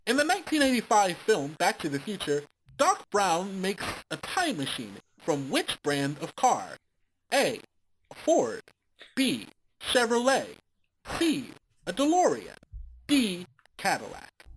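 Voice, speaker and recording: A man's voice: high-pitched, huge pitch swings, slow pace, a little hiss or hum, voice pushed up, exaggerated voice, poor audio. A man doing a smarmy cartoon quiz host. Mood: quizzing. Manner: cutesy, cheeky quiz-host patter. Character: sassy smarmy animated quiz-host creature.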